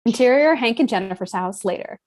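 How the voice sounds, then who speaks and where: A woman's voice: fairly high pitch, lively intonation, brisk, a little mellow. A woman narrating the stage directions of a script at a table read.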